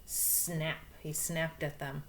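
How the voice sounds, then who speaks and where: A woman's voice: low voice, level tone, slow pace, slight background noise. A woman reading a picture book aloud to young children, expressively, with explanations and questions along the way. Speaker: storybook reader.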